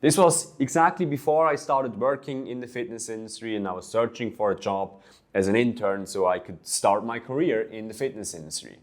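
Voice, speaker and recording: A man's voice: on the low side, fairly quick. A young man from Switzerland, a fitness coach, talking earnestly about his own experience in a vlog, with small restarts as he goes. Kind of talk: personal vlog monologue.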